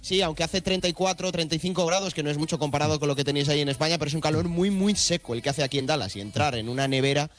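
A man reports live for sports radio with an accent from Spain. His voice is somewhat high, and he repeats words as he improvises. Faint music plays behind him. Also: racing, few pauses.

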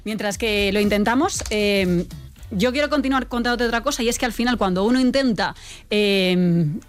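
A woman from Spain hosting a live radio show, chatting lively and laughing easily with callers. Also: medium pitch, normal speed, faint music behind. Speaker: radio host.

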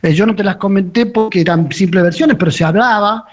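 A man's voice: high-pitched, fairly quick, soft background music. A man with an Argentine accent giving an unscripted radio report as a correspondent, hesitating and repeating phrases as he searches for words. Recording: dull, band-limited remote radio link.

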